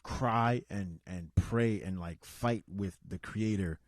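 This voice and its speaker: A man with a fairly low voice hosting a relaxed, unscripted podcast. He talks in a loose, meandering way, doubling back on his words and throwing in shout-outs to listeners. The sound is dry and close, with no room echo. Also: takes it slowly. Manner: rambling and conversational, with many restarts and asides. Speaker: podcast host. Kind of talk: casual unscripted podcast chat.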